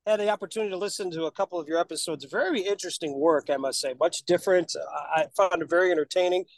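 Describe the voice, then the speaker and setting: A man's voice: sits high, quick pace. A man co-hosting a podcast, chatting casually and asking interview questions. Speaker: podcast co-host.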